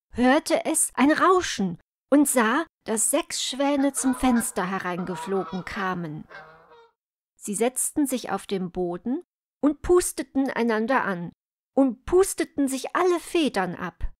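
A woman's voice: fairly high pitch, no echo, studio quality. A woman storyteller reading a scripted fairy tale narration.

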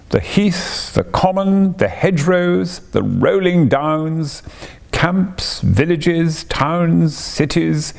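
A man voicing a boastful, excitable male toad who pleads and shows off in a storybook reading. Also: on the high side, creaky, voice pushed up, full character voice. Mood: exuberant. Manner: exuberant, theatrical, pleading.

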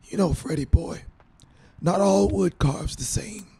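A man voicing a folksy old grandfather in an audiobook, raspy and husky, with an unhurried manner. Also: high-pitched, dark tone, pitched above the performer's own voice, exaggerated voice. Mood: musing, gentle. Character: plainspoken folksy old grandfather, musing on wood carving.

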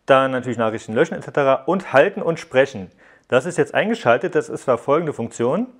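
A man's voice: mid-range voice, animated, quick pace. Man giving a casual tech walkthrough. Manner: explanatory, addressing viewers directly. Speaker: app reviewer, host. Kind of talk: casual hands-on tech review narration.

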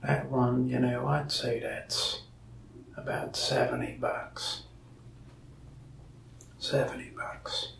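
Gravelly, whispery young man, slow. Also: medium pitch, big pitch swings, a bit bright, reverberant, recorded from a distance, a little hiss or hum. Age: young adult. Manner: whispery, folksy and rambling. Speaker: mask shop salesman in roleplay. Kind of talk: soft-spoken ASMR roleplay. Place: indoor room before a wall of masks.

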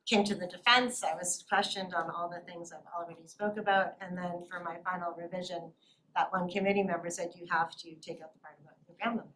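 A middle-aged woman giving an academic talk in a seminar room, reflective and quick to laugh softly. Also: fairly low pitch, fairly even intonation, a little hiss or hum, poor audio. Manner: reflective, with frequent soft laughs. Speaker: lecturer.

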